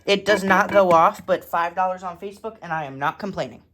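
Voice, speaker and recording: A woman's voice: on the low side, a little fast, a bit bright, a little hiss or hum. A woman giving a casual, matter-of-fact show-and-tell of a hobby collection in short, clipped phrases, recorded indoors at home.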